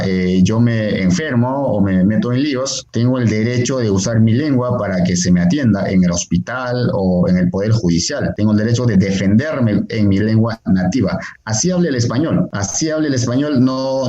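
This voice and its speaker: A man's voice: mid-range voice, dark tone. A man, a Quechua teacher and translator, explaining earnestly as an interview guest, with many fillers and restarts. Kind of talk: interview over a call, explaining. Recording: echoey, dull lo-fi call audio.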